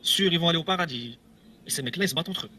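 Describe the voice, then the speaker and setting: A man's voice: a little high, lively intonation, rapid-fire, sharp tone, a little hiss or hum. A man telling his own story on video, rambling and hesitant, with repeats and nervous laughs. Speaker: ex-believer giving a testimony video. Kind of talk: casual video testimony.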